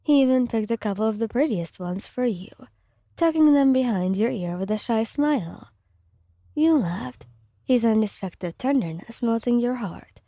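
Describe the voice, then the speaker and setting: A woman reading scripted fan fiction as soft ASMR narration, with a gentle, soothing delivery. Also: a little high, expressive, normal speed, dry recording, clean studio sound.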